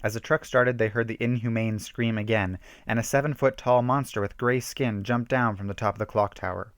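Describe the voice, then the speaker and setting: A man's voice: lowish voice, fairly even intonation, a little fast, no echo, clean studio sound. A man giving an emphatic, theatrical reading of a horror story, stumbling now and then. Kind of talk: dramatic reading of a self-written horror story.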